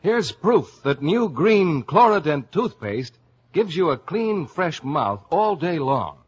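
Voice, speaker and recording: A man's voice: fairly high pitch, sing-song, slow, husky. A man announcing in a scripted 1950s radio advertising style. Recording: dull vintage radio broadcast recording.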